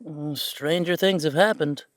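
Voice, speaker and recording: A man's voice: fairly high pitch, unhurried, no echo, lightly acted. Man playing a wry werewolf son, close dry sound. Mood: skeptical. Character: sardonic, rebellious adult werewolf son. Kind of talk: audio roleplay drama acting.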